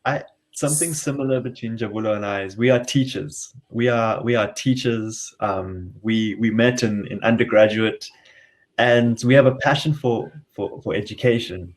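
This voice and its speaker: A man's voice: lowish voice, normal speed. A South African man with a South African accent, hosting an online workshop in an earnest way, often repeating words. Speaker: workshop facilitator.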